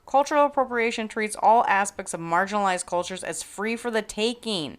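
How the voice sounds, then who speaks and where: A woman's voice: mid-range voice, brisk, clean tone. A woman hosting a podcast, reading scripted educational narration with careful explanation and a wry, playful edge. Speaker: podcast host.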